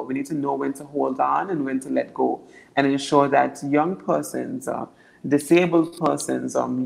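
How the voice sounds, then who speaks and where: Deep-voiced young woman, gravelly. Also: poor audio. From Anguilla. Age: young adult. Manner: earnest, repeats words as she builds points. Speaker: political candidate. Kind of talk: interview answers.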